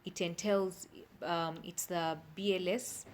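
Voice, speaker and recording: A woman's voice: lowish voice, steady tone, takes it slowly, a little hiss or hum. A woman speaking as an expert interview guest, explaining things plainly step by step and checking that she is understood.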